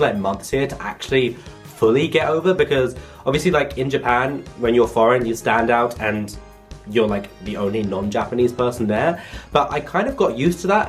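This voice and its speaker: A young man from England chats to his viewers in an easygoing vlog, leaning on "like" as he goes. His voice sits at an ordinary middle pitch. Faint music plays behind him. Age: young adult. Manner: chatty, lots of "like" fillers. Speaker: vlogger. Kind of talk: casual vlog monologue.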